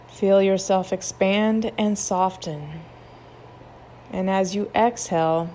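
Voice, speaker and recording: A woman narrating a guided meditation in a gentle, measured, instructional manner. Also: on the low side, slight background noise.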